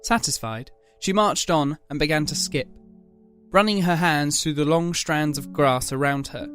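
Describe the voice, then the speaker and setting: A man narrates a dramatic scripted story. His voice is a little high for a man, and faint music plays underneath. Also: measured pace, fairly bright tone.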